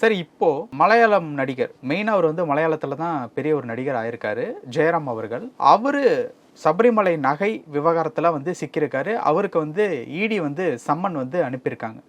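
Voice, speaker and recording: A man's voice: on the high side, lively intonation, normal speed. A man hosting a news interview, introducing the subject and putting questions to a guest. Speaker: interviewer, host.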